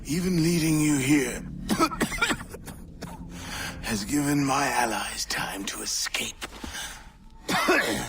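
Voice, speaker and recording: A man's voice: mid-range voice, takes it slowly, long pauses, music in the background. A man playing a sickly, dying but defiant schemer in a video game cutscene, coughing and out of breath. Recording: distant, noisy game scene.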